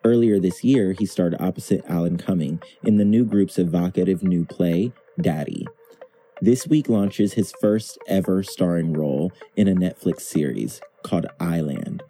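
A man's voice: sits low, normal speed, mellow, faint music behind. A man hosting a podcast, reading a scripted introduction in a playful, enthusiastic way. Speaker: podcast host.

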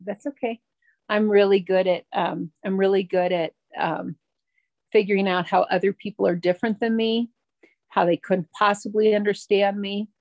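A middle-aged woman sharing her recovery story at a twelve-step meeting, halting and reflective, with many pauses and restarts. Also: medium pitch, fairly even intonation, no room sound, lo-fi sound. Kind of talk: personal recovery share.

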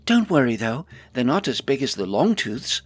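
A man puts on a spirited hobbit lass from a fantasy storybook. The voice is high and lively, with a chatty, coaxing manner. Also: moderate pace, husky, no room sound. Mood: breezy. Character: spirited young female hobbit adventurer, breezy and persuasive.

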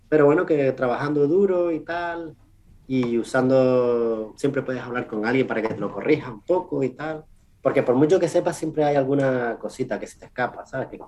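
A man with a Canarian Spanish accent giving casual interview answers as a research scientist, in an informal, halting way with self-corrections and tag questions. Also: medium pitch, mellow. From Canary Islands, Spain. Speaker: research scientist, podcast guest.

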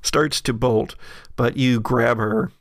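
A man's voice: mid-range voice, steady tone, slow, dry recording, clean studio sound. A man as game master, improvising conversational narration for a tabletop horror game with frequent hesitations.

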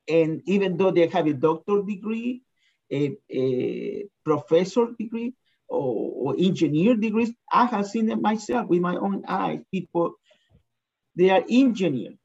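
A man speaks earnestly and reflectively, sharing his own view at a slightly raised pitch. The audio is dull and lo-fi, as if recorded at home. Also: a little mellow. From Chile. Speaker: discussion participant. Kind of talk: sharing a personal opinion.

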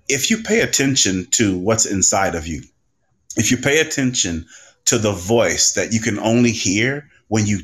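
A man with a fairly low voice speaks as a guest on a podcast panel. His delivery is reflective and deliberate, building his points in measured phrases.

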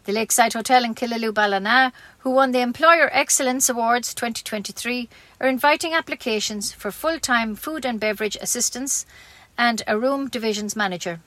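A dry, close recording of an Irish woman reading community radio news. Her voice sits a little high, and she delivers scripted bulletins as a local newsreader. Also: fairly quick, sharp tone, no echo.

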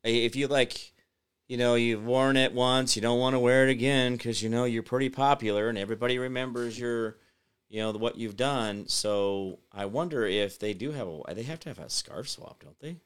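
Man, online show host, off-the-cuff political monologue, scornful, rambling, bright tense tone. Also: mid-range voice, normal speed, clear, resonant tone. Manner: rambling and scornful, trails off and restarts; reads viewer comments aloud and replies. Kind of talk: unscripted political commentary monologue.

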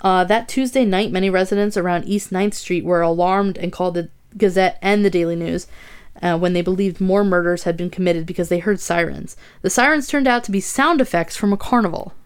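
Brief young woman host, smooth clear voice, loose chatty narration, cusses casually. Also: lowish voice, fairly quick. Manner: swears casually, reads notes aloud with asides. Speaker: podcast host telling a true crime story. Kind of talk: casual storytelling chat, reading notes.

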